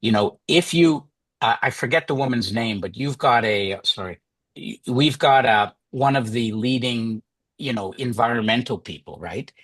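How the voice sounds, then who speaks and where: Male workshop presenter, slightly husky, dry close sound, chatty teacher with lots of "you know" and "right?". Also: mid-range voice, moderate pace, fairly bright tone, dry recording. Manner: chatty, many fillers and restarts, asks "right?".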